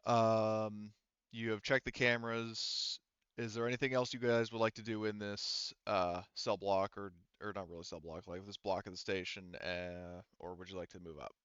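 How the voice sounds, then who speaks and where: A man's voice: on the low side, flat delivery, moderate pace, fairly bright tone, clear, resonant tone, no echo, lo-fi sound. A man acting as game master in a casual tabletop roleplaying session, narrating and bantering.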